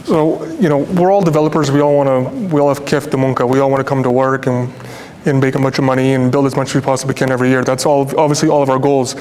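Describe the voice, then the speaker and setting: A man's voice: little pitch movement, fast talker, darkish tone. A young man from Toronto with a North American accent, speaking earnestly and colloquially as a developer on a panel. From Toronto, Canada. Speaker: real estate developer on a panel. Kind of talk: informal panel discussion remarks. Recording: echoey hall, noisy with low rumble.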